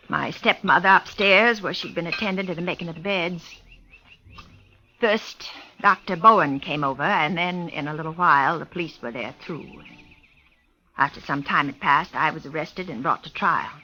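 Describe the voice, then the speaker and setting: An actress playing a haunted, defensive older woman in old radio suspense, halting and plaintive as she speaks. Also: sits low, gravelly, bright, forceful tone, exaggerated voice. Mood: bitter, plaintive. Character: haunted, defensive older woman long accused of parents' murder. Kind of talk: 1940s-50s radio suspense acting. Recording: muffled old radio recording.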